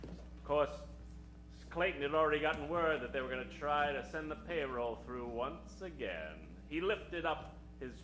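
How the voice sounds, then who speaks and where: Male narrator, old-time western radio style, theatrical, tongue-in-cheek, slightly high voice, roomy live stage sound. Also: lively intonation, moderate pace, fairly bright tone, slight background noise. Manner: theatrical, storytelling.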